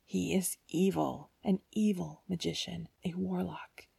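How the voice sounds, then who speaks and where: A woman voicing a frightened, sorrowful old woman held prisoner, speaking in a slow, hissing whisper. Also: on the low side, steady tone, vocal fry, warm tone, slightly rough, no room sound, exaggerated voice. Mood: grave warning.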